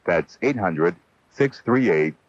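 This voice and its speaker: A man reading a scripted public service announcement on radio, sober and deliberate. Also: low voice, animated. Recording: dull 1980 AM radio broadcast.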